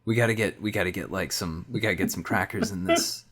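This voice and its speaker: A man's voice: sits low, fast talker, a bit bright. A man playing a tabletop roleplaying game, improvising casually in slangy, laid-back wording.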